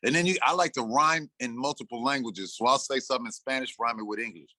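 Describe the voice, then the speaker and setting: A man in his sixties, an interview guest, telling stories in a loose, animated way and acting out his own lines. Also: mid-range voice, quick pace, no echo. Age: 60s. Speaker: interview guest, rapper and producer. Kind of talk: casual interview talk.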